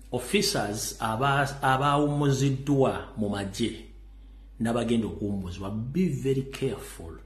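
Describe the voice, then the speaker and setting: A man's voice: mid-range voice, echoey room. A Ugandan man giving emphatic live commentary, repeating his points for effect. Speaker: online commentator.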